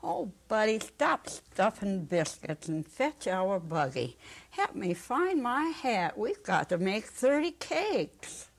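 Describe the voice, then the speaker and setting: Elderly woman, homely and childlike, excitable but unhurried, played by an older woman in a staged story reading. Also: mid-range voice, creaky, exaggerated voice. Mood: excited. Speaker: dramatic reader. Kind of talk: dramatic reading of a short story. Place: indoor studio set. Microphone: lapel.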